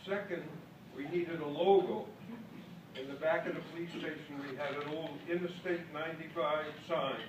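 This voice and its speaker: A man's voice: a little high, fairly even intonation, normal speed. Older man with a Maine accent. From Boston. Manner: folksy, self-deprecating, jokes with the crowd. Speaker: speaker at a club convention. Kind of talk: after-dinner speech, storytelling. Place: large hall with an audience. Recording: echoey hall, distant mic, loud background noise.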